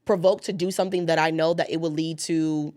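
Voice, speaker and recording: A woman's voice: low-pitched, brisk, no room sound. Emphatic woman in her thirties, dry close sound. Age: 30s. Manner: animated, emphatic, slangy storytelling. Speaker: guest. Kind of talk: casual podcast conversation.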